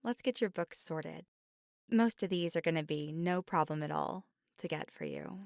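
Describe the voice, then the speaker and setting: Woman acting chatty young college bookshop clerk, low-pitched. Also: normal speed, gravelly, no echo, clean studio sound. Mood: helpful, brisk. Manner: chatty, stammering when flustered. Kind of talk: ASMR roleplay, scripted one-sided conversation.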